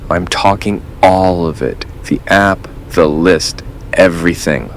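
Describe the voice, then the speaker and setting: An actor playing an earnest first-time caller laying out a conspiracy theory. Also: male voice, deep voice, takes it slowly, a little hiss or hum, rough recording.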